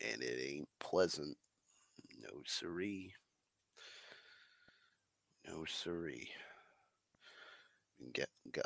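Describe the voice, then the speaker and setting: A very deep, gravelly male voice with a crisp, bright edge, rambling slowly and swearing freely. He sounds a little congested from the tail end of a flu, sighing between thoughts. The sound is close and dry on a desk microphone. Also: no echo. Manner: swears a lot, drawling, slow and sighing. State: recovering from flu, slightly congested. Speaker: streamer. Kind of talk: rambling casual monologue.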